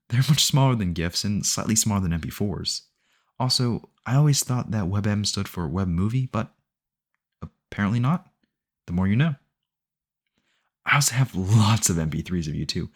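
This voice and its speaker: A man's voice: lowish voice, a little mellow. A man playing a sweetly possessive, obsessive boyfriend in an ASMR audio roleplay, rambling earnestly and intimately to the listener. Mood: doting, possessive. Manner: doting, rambling, earnest self-justifying, close and conversational.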